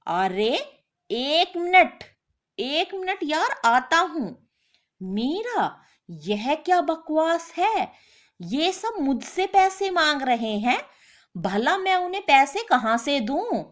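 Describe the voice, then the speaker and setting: A woman's voice: sits high, big pitch swings, slow, voice pushed up, heavily acted. A woman voicing a lazy, jobless husband who is whiny, indignant and self-pitying, in a story. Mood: flustered.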